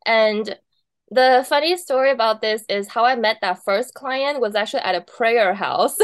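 A woman's voice: on the high side, moderate pace, smooth, no room sound. A woman from Taiwan, a wedding planner, chatting casually as an interview guest, laughing often between thoughts.

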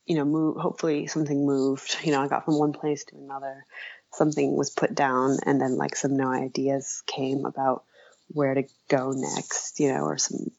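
A woman being interviewed in casual conversation, talking haltingly and self-deprecatingly, often trailing off, with a creaky voice. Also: a bass voice, little pitch movement, a little mellow, lo-fi sound. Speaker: interview guest, author.

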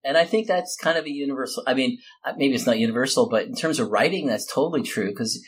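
A man's voice: medium pitch, fast talker. A man hosting a casual, conversational interview. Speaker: host. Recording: clear background noise, room ambience.

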